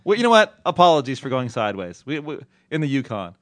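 Male podcast host, animated and jokey, bouncy pitch, dry close mic. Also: fast, no room sound. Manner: laughs often, jokey and animated. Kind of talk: casual banter.

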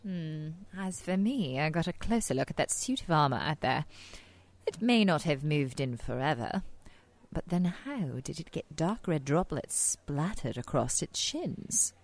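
A woman's voice: deep voice, sing-song, a little slow, full character voice. A woman playing a sly, inquisitive British spy in radio theater, with an arch, playful manner. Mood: wry.

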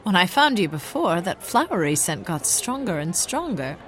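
A woman playing a plucky, brisk young society heroine in a radio drama parody, sassy and full of period slang. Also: lowish voice, big pitch swings, a bit bright. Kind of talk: old-time radio drama parody acting.

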